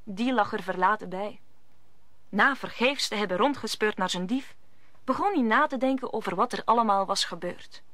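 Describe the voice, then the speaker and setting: A woman's voice: medium pitch, normal speed, bright, forceful tone, dry recording. A woman narrating an audio drama in a scripted storytelling style.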